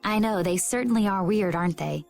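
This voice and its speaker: Game heroine, gentle young woman played by a woman: earnest, medium pitch, music underneath. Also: fairly quick, vocal fry, natural voice. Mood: friendly. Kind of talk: video game voice acting.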